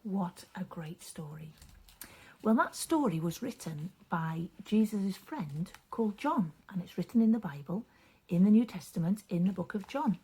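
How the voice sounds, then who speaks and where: A woman reading and explaining a story for children, with gentle dramatic phrasing that often drops into a hush. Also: lowish voice, animated, poor audio. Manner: dramatic, deliberate phrasing, often breathy and hushed.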